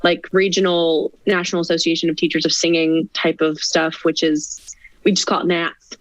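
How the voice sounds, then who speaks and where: A young adult woman, a singer and actress, chatting as a podcast guest, animated and laughing, often restarting her sentences. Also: lowish voice, moderate pace, no room sound, low-quality recording. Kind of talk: casual interview chat.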